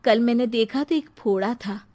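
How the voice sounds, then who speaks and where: A woman's voice: a little high, moderate pace, darkish tone, natural voice. A woman voicing a meek, weary middle-aged housewife in a cartoon story, hesitant and deferential. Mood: worried.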